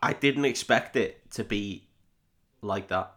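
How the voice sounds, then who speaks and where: Bright-voiced, jokey man chatting. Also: lowish voice, slow pace. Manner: jokey, sweary. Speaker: film podcast host. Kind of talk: casual chat.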